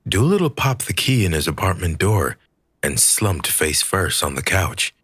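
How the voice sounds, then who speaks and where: A man reading a scripted story aloud as an audiobook narrator, with a husky voice. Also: low-pitched, sing-song, a little slow, fairly bright tone, dry recording, studio quality.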